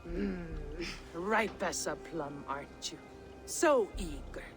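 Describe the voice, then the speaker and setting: A woman's voice: fairly low pitch, slow pace, music in the background. A woman playing a brazen, sly mercenary leader in a video game, speaking in a teasing, theatrical drawl. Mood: sly bargaining. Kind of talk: dramatic video game acting.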